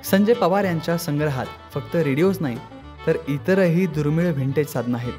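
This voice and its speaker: A man's voice: fairly high pitch, a little fast, over music. A man reads scripted narration for a feature report.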